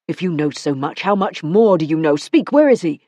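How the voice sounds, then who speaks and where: A woman's voice: low voice, big pitch swings, no room sound. A woman voicing a man in a cold frenzy, who speaks in an intense, hissing way. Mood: cold fury. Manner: intense, hissing, slow and forceful.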